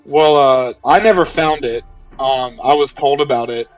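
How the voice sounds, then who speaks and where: A man's voice: mid-range voice, normal speed, dry recording, distant mic, soft background music, rough recording. A man playing a tabletop roleplaying game, speaking improvised in-character lines earnestly and pleadingly.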